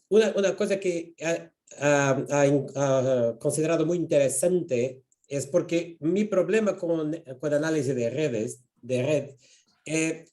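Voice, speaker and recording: A man's voice: a little high, a little mellow. A man taking part in an online seminar discussion, hesitant and searching for words over a video call. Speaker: seminar participant.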